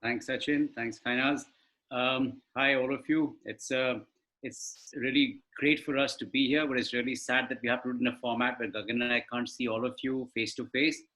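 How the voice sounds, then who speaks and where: A man's voice: mid-range voice, fairly even intonation, moderate pace, pushed and bright, poor audio. Man giving a webinar talk. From India. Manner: conversational, explanatory, asks rhetorical questions. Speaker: webinar panelist. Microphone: video call.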